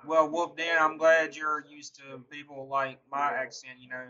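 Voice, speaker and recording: A man's voice: on the high side, steady tone, normal speed, sharp tone, muffled recording. Southern man chatting on a live stream. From Mississippi. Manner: laughs often, answers chat by name. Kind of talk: casual chat reading viewer comments.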